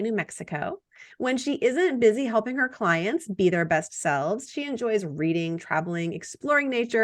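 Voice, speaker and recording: A woman hosting a podcast interview, reading her guest's introduction and then chatting in a relaxed, conversational way. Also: mid-range voice. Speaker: podcast host.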